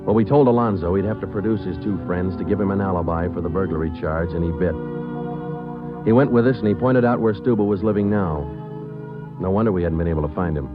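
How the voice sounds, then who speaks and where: An actor playing a terse male police sergeant who narrates the case in a clipped, deadpan way, in 1940s radio acting. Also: low-pitched, fast talker, close to an everyday voice. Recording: tinny old radio broadcast with music.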